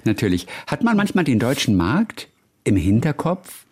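A man with a husky voice conducts the interview. He asks probing questions and nods along with short yeses. His pitch moves up and down a lot as he speaks. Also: sing-song, moderate pace. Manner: probing, with short affirming replies.

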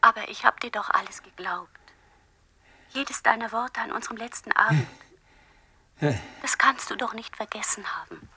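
An actress playing a wistful, wronged lover in a radio play, speaking in a hushed, breathy, reproachful way. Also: female voice, fairly high pitch, moderate pace, pauses often, heavily acted. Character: wistful woman, wronged lover, haunting voice of memory.

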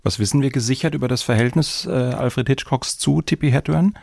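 A man's voice: mid-range voice, fairly quick, vocal fry, a little mellow, dry recording. A man hosting a panel discussion, putting pointed, structured questions to guests. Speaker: host.

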